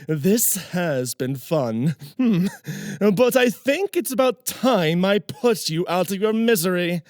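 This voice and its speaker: A man's voice: sits high, no echo, clean studio sound, exaggerated voice. A man playing a pompous, cruel nobleman sorcerer in a fantasy audio drama, gloating and menacing with theatrical flair.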